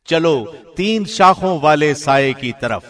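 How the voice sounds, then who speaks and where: A man reads translated scripture from a script. His delivery is formal, measured and solemn, in the manner of a radio presenter. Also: fairly high pitch, lively intonation, a bit bright. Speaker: radio host reading Quran translation.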